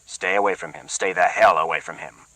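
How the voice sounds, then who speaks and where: A man playing a tense boss who speaks low, quiet and tight. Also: steady tone, a little fast, no room sound, distant mic, poor audio. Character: tense, controlled male boss.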